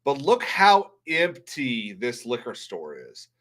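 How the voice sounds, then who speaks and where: A man's voice: mid-range voice, huge pitch swings, takes it slowly, a bit bright. A man hosting a livestream, chatting casually in a rambling, blunt way. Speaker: livestream host. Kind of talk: casual livestream chat and commentary.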